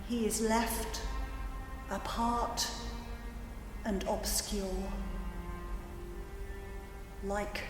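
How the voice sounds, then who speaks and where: A woman narrates literary prose solemnly and extremely slowly. She sounds distant in a hugely reverberant hall, with music playing behind her. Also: medium pitch, steady tone.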